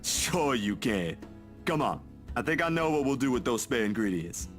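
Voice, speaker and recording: A man acting an easygoing young guy in a visual novel, caring and playfully folksy. His medium-pitched voice moves around in lively swings, with faint music underneath. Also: normal speed, lightly acted. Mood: playful, teasing. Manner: casual, folksy, teasing.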